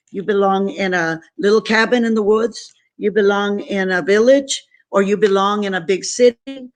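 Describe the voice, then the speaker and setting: Earnest woman guest from San Antonio. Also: medium pitch. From San Antonio, Texas. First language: Spanish. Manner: emphatic, repetitive, earnest. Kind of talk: motivational interview talk.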